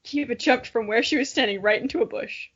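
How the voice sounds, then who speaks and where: High-voiced, playful young woman, dull audio. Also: a little fast, a bit bright, husky. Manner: playful, self-mocking, jokey. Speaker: podcast co-host. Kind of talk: reading aloud with casual commentary.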